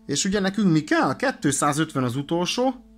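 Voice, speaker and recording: A man explains things in an informal, halting way, thinking aloud as he presents a hands-on demonstration. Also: on the high side, very animated, faint music behind. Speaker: presenter of a radio equipment demonstration. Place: indoors at a desk.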